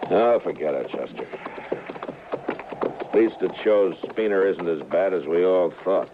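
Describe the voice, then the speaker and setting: Through the narrow, noisy band of an old radio western comes a frontier marshal. His voice is extremely deep, and he speaks tersely and dryly, with steady, stern authority. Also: measured pace. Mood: wry, steady. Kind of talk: 1950s radio western acting and narration.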